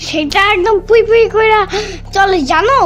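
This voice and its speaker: Squeaky cheeky cartoon boy. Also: moderate pace. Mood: demanding. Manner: childlike, squealing when excited. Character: cheeky little boy, eager and demanding. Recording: music bed under the voice.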